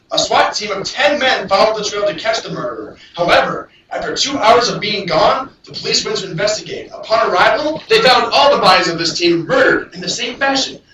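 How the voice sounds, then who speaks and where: A man's voice: high-pitched, sharp tone. A man voicing a father who reads grim news aloud in an amateur cartoon. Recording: very echoey, distant, faint noise.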